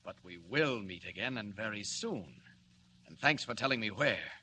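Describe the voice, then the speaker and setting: An actor playing a confident, defiant superhero in a 1940s radio serial, self-assured and wry in a declamatory way. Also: male voice, fairly low pitch, expressive, normal speed, pushed and bright. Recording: very noisy old radio recording.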